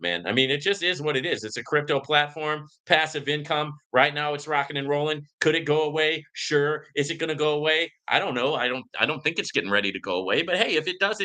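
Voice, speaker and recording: A man's voice: a little high, pushed and bright. A man hosting a live question-and-answer stream, talking in an emphatic, preachy way and often tacking "right?" onto his points. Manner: peppers talk with "right?" and "man". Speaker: livestream host. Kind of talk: live Q and A commentary, ranting.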